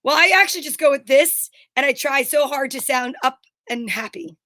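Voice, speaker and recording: Woman, high voice, dry close sound, upbeat, enthusiastic, casual live-show banter. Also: normal speed, pushed and bright, no echo. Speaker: co-host of an online comedy show.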